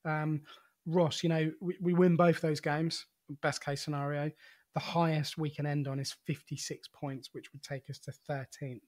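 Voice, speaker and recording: Higher-voiced man chatting about football. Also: level tone, moderate pace, a little mellow. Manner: conversational, many restarts and "you know"s. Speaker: podcast co-host. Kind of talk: casual football podcast chat.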